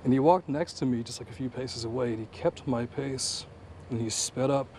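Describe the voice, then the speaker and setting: Young dude talking outside in a yard, casual with lots of like, faint street noise. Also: male voice, mid-range voice, normal speed. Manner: casual, hesitant with "like" fillers. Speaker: neighbor interviewee. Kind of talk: casual recollection. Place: outdoors in a residential yard. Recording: outdoor recording from a distance, with faint traffic.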